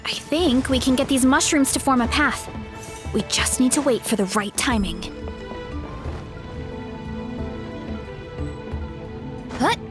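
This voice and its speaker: Casting: sweet, brave young game heroine; high, lively pitch, earnest delivery. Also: female voice, normal speed, music in the background. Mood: eager, determined. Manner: earnest, reasoning aloud. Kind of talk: video game voice acting.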